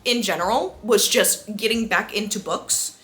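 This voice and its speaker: A woman talking casually to viewers as a book vlogger, with a gushing, excitable and emphatic manner. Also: mid-range voice, moderate pace, soft background music.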